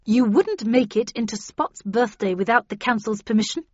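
A woman's voice: on the high side, expressive, normal speed, a little mellow, no echo. A woman voicing a stern, cold, commanding female official, severe and formal, in an audiobook. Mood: threatening. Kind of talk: audiobook character dialogue. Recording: dull, band-limited audio.

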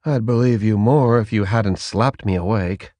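A man voicing a dry, defiant captive female soldier in an audiobook, with a deadpan, sardonic manner. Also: fairly low pitch, normal speed, no echo, lightly acted. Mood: wry complaint. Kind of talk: audiobook character dialogue.

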